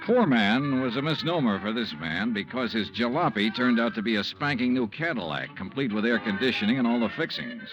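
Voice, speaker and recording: An actor playing a wry, weary American insurance investigator in 1950s radio noir style. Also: male voice, medium pitch, animated, fairly quick, hardly any pauses, lightly acted. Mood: wry narration. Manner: wry, world-weary, clipped storytelling. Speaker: lead actor and narrator of a radio detective series. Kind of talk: 1950s radio noir acting, first-person narration. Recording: muffled vintage radio recording with music.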